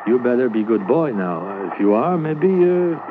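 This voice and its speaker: An actor playing a kindly, hopeful constable who speaks halting English mixed with words, in a 1950s radio drama. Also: male voice, mid-range voice, very animated. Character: French-Canadian Mountie constable, kindly, hopeful. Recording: tinny old radio transcription, hiss.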